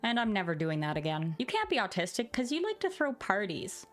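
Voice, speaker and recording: A woman's voice: mid-range voice, wildly swooping pitch, brisk. A woman in her forties doing a sarcastic, theatrical dramatic reading of online posts with commentary. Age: 40s. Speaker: video host.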